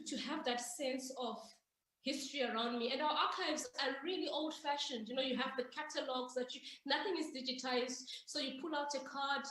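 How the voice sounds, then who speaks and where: A high-pitched woman from Zimbabwe talks about her writing as an interview guest. She tells her stories in a lively way and often restarts her sentences. Her voice rings around a very echoey room. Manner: animated, storytelling with self-interrupting restarts. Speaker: author guest. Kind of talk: conversational interview answers.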